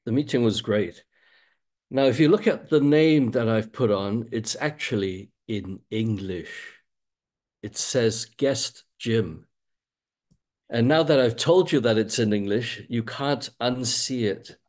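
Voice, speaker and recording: A man's voice: mid-range voice, slow pace, darkish tone. A man speaking as a guest on a video call, telling an easygoing, wry anecdote. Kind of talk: casual anecdote.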